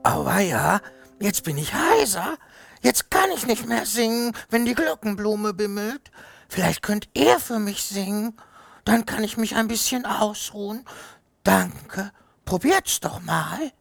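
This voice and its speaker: A woman playing a hoarse little root creature. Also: mid-range voice, animated, takes it slowly, soft background music. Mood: tired. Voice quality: hoarse, croaky. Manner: gentle, talks to listening children.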